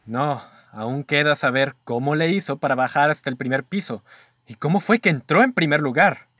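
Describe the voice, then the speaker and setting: A man's voice: fairly high pitch, normal speed, fairly bright tone, no room sound, clean studio sound. Man acting an inquisitive, courteous detective's companion. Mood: eager. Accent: Mexican. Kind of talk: student radio drama acting.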